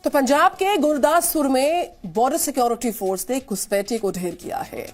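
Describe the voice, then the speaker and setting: A woman's voice: sits high, normal speed. An Indian woman presenting television news in a formal, emphatic manner. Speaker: news anchor. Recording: studio voice over news music.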